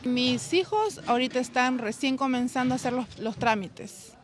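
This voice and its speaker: A woman's voice: high voice, animated, normal speed. A woman, a parent, answering spontaneously in a news interview and repeating words as she goes.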